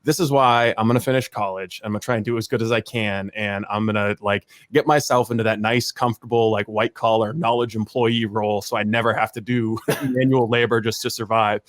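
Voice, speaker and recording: Fast-talking, low-pitched man from rural Maine. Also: fairly even intonation, no echo, studio quality. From rural Maine, USA. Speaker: podcast guest, a media producer. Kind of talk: casual interview chat, joking.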